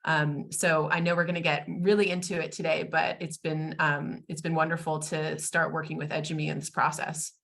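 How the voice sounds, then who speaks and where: An American woman, a guest expert in a webinar interview, talking fluently in a polished and warm way. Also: deep voice, flat delivery, normal speed, creaky, few pauses. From United States. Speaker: guest, tech company expert.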